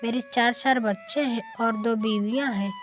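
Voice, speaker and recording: A woman's voice: fairly high pitch, fairly even intonation, normal speed, faint music behind, natural voice. A woman voicing an obsequious, cowardly young manservant in a cartoon story. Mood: panicked.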